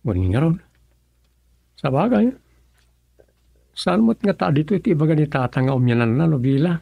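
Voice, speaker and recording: A man's voice: fairly high pitch, lively intonation, normal speed, creaky, mellow, no echo. A man acting an earnest, hesitant village husband in a radio drama, coaxing, with an Ilocano accent. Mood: concerned, coaxing.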